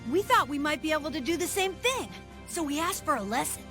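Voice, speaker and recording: A woman voicing an eager, excitable youngster in a video game. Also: high voice, brisk, music in the background. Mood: flustered.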